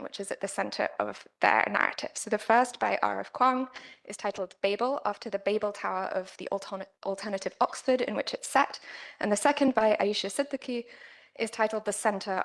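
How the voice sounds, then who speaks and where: A woman presenting an academic paper at a conference, with a quiet voice and frequent "um"s. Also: mid-range voice, vocal fry. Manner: quiet-voiced, careful with many fillers. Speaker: conference speaker. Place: conference room.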